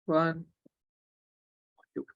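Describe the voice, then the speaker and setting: A woman, a Telugu speaker, explaining a script in an online class, relaxed and full of fillers and restarts. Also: drawn-out words. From Tamil Nadu, India. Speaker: script teacher. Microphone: video call. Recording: dull lo-fi video call audio.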